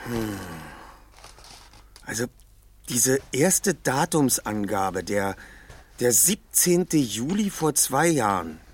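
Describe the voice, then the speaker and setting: A man's voice: mid-range voice, sing-song, slow pace, long pauses, a little hiss or hum. An actor playing a clever, methodical young male detective in a German audio drama, explaining things precisely. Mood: analytical, deliberate.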